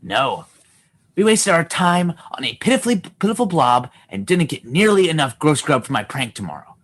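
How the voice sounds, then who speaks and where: A mean, gloating child prankster played by a man in a comic radio play. The voice swings widely in pitch, taunting and mocking with scornful relish. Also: sing-song, moderate pace, a bit bright. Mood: menacing, taunting. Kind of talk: comedy radio theatre acting.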